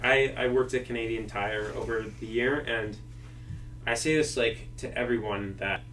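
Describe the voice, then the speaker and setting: A man's voice: medium pitch, normal speed. A young man hosting a casual podcast, speaking loosely and filling with "like" and "you know". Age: young adult. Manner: relaxed, lots of "like" and "you know". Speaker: podcast host. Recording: distant mic with faint hum.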